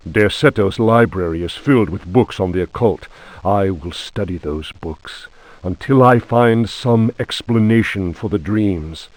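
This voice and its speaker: A man's voice: fairly low pitch, dry recording, rough recording. An actor playing a haunted, anxious painter who is sliding into madness, reading his diary in a theatrical, brooding way for a horror video game.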